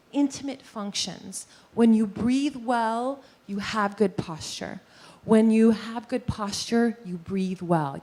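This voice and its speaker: A woman giving a lively presentation on posture and breathing to a live audience in a meeting room, guiding them through movements. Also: a little high, poor audio. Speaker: posture and breathing teacher giving a workplace talk.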